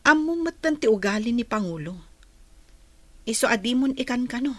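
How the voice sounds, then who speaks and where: A woman playing a steady, reassuring but insistent comrade in a secretive group, in an Ilocano radio drama. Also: a little high, normal speed, no room sound.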